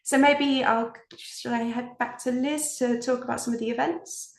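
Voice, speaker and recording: A woman's voice: a little high, steady tone, normal speed. A woman giving an informal talk about their art, reflective and hesitant, searching for words as they go. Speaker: artist presenting their own work. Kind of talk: informal artist talk with slides. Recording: roomy, echoey sound.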